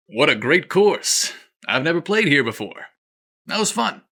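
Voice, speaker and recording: A charming, easygoing golfer, as a male narrator puts him on. He sounds relaxed and friendly, with a hint of flirtation. His voice is bright. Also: on the high side, a little slow, voice pushed up, heavily acted.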